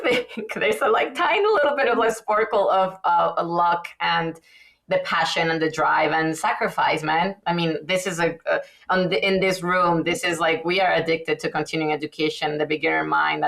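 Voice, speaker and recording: Low creaky-voiced woman from Venezuela. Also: moderate pace. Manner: chatty, many fillers and restarts, animated. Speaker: guest dentist. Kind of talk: casual podcast interview.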